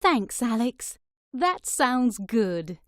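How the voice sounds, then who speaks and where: A woman reading a scripted listening-test dialogue slowly and clearly. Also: fairly high pitch, sing-song, dry recording, clean studio sound, exaggerated voice. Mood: friendly. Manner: slow, clear, carefully spaced words.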